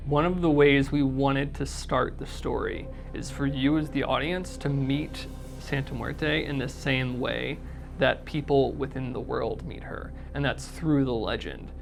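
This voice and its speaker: Creaky-voiced American man over music. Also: mid-range voice, steady tone, measured pace. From North Carolina, United States. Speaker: audio drama creator. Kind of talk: promotional interview commentary.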